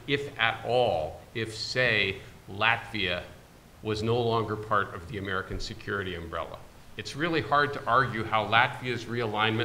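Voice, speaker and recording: A man's voice: medium pitch, pushed and bright, slight background noise. A man on a discussion panel, answering a question bluntly and argumentatively.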